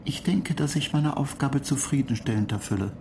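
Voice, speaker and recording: Polite computer program, gravelly voice, measured explanations, radio play, clear background noise. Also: male voice, medium pitch, animated. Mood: slightly offended. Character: polite, apologetic male computer program, slightly touchy.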